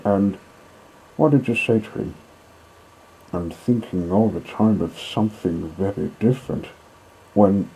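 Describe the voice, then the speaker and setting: A man narrating a classic children's story in a measured, dramatic way, with deliberate pauses and a husky voice. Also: on the low side, dark tone, poor audio.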